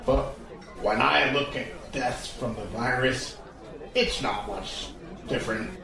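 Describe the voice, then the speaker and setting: Man playing cynical, war-weary soldier, slow and low, sardonic and blunt, audio drama pub scene with crowd chatter. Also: gravelly. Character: world-weary cynical male soldier back from border duty. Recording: distant, very echoey, crowd noise behind.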